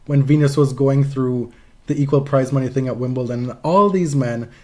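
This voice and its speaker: Male podcast co-host, soft-edged mellow tone, emphatic and salty-tongued, casual opinionated sports talk. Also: medium pitch, moderate pace. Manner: emphatic, frequent profanity.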